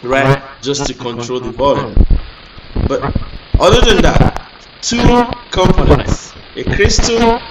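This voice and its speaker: A man's voice: medium pitch, takes it slowly. A man hosting a casual hands-on electronics tutorial, explaining step by step with frequent restarts and fillers. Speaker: electronics channel host. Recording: echoey room, mic at a distance, noisy.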